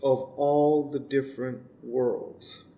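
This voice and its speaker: A man's voice: mid-range voice, flat delivery, takes it slowly, gravelly, mellow. A man playing an easygoing, picnic-loving monster in an improvised comedic radio play, talking in a laid-back, matter-of-fact way. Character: easygoing, ugly monster Guri who loves picnics and grilling. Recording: roomy echo with faint background noise.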